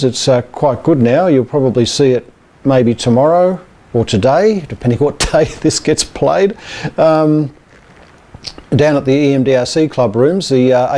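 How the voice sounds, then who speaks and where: Mellow, softly dark-voiced Australian man. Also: medium pitch. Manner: hesitant, rambling, informal. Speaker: amateur radio and television club presenter. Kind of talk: casual update to camera.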